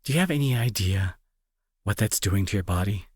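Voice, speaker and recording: A husky young guy acted out by a man plays the gruff bully who cares more than he admits. His pitch swings widely as he scolds the listener with exasperated sarcasm, in a close, dry audio roleplay. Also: expressive, a little fast, darkish tone, no echo. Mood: exasperated, scolding. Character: gruff young male bully, secretly worried and protective. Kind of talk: intimate audio roleplay acting, addressed to listener.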